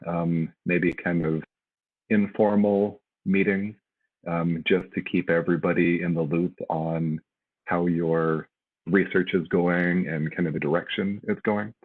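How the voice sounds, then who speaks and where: Low raspy guy, tinny phone-like audio, casual hesitant panel talk. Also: steady tone, unhurried. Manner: hesitant and casual, many fillers. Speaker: faculty panelist. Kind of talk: advice on a webinar panel.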